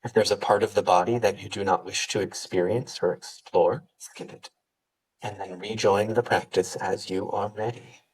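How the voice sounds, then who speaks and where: A man with a fairly low, rough-edged voice guides a meditation as a yoga teacher. He gives gentle instructions and leaves pauses between them. He sounds well back from the microphone. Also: steady tone, distant mic, rough recording.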